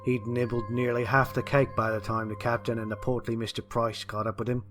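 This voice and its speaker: Bright, forceful male story narrator. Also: medium pitch, little pitch movement, brisk, faint music behind. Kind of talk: dramatic scripted story narration.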